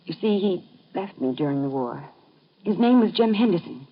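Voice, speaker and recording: A woman's voice: fairly low pitch, animated, normal speed. An actress playing a woman who is searching for her vanished husband, speaking in an earnest, pleading way, in a vintage radio drama. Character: desperate wife hunting her vanished husband. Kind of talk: 1950s radio drama acting. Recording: dull vintage radio broadcast.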